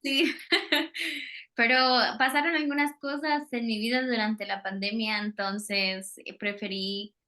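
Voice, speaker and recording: A woman's voice: medium pitch, bright, forceful tone. A Colombian woman, a Spanish teacher, talking casually in an interview over a video call, hesitating with many fillers.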